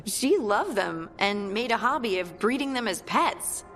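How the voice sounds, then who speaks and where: A woman playing a warm, earnest young scout in a fantasy video game, chatting in a friendly, conversational way. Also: on the high side, animated, takes it slowly, soft background music, exaggerated voice. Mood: playful. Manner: chatty, friendly, lightly amused.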